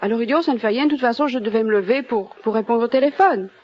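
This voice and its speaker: A young woman with a Belgian French accent and a fairly high voice answers as a quiz contestant. She is a native French speaker, heard on a dull old radio recording. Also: rapid-fire. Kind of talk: casual interview chat. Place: radio studio.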